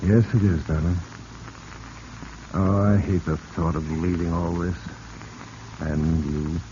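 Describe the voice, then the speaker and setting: An actor playing a smooth-talking, calculating male swindler in a 1940s radio drama. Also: low-pitched, little pitch movement, slow pace, mellow. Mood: tender, seductive. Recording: dull, noisy vintage radio recording.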